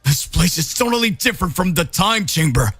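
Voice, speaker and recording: A man's voice: high voice, very animated, moderate pace, pushed and bright, no echo, pitched above the performer's own voice, exaggerated voice. A man voicing an eager, cheerful young martial artist hero. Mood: angry. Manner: upbeat and eager.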